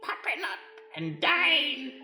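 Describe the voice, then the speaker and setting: Lady doing a cranky troll, super high and slow, blunt, roomy echo. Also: very animated, pushed and bright, heavily acted. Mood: grudging, amused. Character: cranky blind old-house troll, indignant and blunt. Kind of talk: improvised comedy radio play. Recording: roomy echo, faint music behind.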